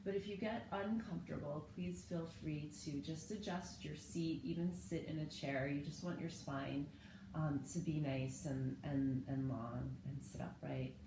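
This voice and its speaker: A woman in her thirties with a low, slightly hoarse voice gently leads a home yoga session. She cues each inhale and exhale calmly and unhurriedly. The camera sits on the floor of an echoey living room, with a faint hiss behind her. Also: a little slow. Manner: calm, gentle cueing with breath. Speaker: yoga and meditation teacher. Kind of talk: guided yoga instruction.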